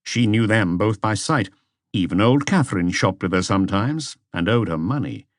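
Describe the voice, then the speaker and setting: Guy reading a detective audiobook, lowish voice, dry studio-like sound, scripted narration. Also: expressive, normal speed, dry recording.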